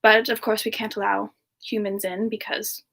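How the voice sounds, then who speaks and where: A woman voicing a gentle, friendly young siren demon in a semi-ASMR livestream chat, speaking softly and hesitantly with polite warmth toward listeners. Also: mid-range voice, flat delivery, moderate pace, gravelly. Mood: thoughtful, wry. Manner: soft-spoken, hesitant, polite, breaking into murmurs. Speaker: livestream host in character.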